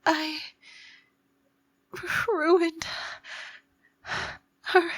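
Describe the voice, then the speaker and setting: A woman playing an obsessive, possessive yandere girlfriend, menacing yet fragile and devoted, in a dramatic, halting ASMR roleplay style. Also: extremely high pitch, level tone, no room sound, heavily acted. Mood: tearful guilt.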